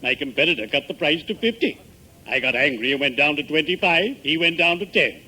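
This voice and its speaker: An actor playing a pompous, morbidly genial middle-aged undertaker with genteel, mock-solemn diction in an old-time radio sitcom. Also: male voice, a little high, expressive, brisk, sharp tone, husky, heavily acted. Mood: solemn, polite. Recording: noisy vintage 1950s radio broadcast.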